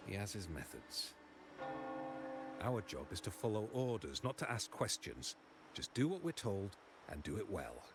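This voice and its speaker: Game henchman: loyal old hand, casual, lower register, animated delivery. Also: unhurried, long pauses, over loud music. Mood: dutiful. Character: easygoing, loyal senior male mob henchman. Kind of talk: video game voice acting.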